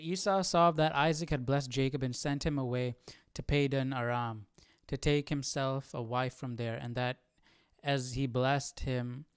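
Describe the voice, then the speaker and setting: A man with a smooth, mid-pitched voice comments casually as a livestream host. He is halting as he thinks aloud, in a dry, close sound. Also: dry recording.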